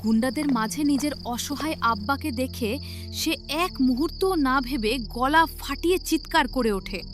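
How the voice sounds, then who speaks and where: A woman's voice: high-pitched, a little slow. A woman narrator reading a scripted story in a dramatic storytelling style. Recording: loud music behind the voice.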